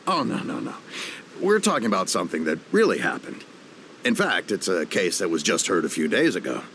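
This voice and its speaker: Townsman, polite, deep voice, expressive, game music behind. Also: fairly quick, slight background noise. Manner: polite, courteous. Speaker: local townsperson. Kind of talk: video game voice acting. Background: game score music.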